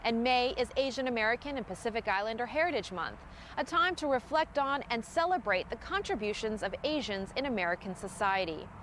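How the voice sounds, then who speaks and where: A woman reading the scripted narration of an informational video. Her delivery is smooth and even. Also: a little high, brisk, slight background noise.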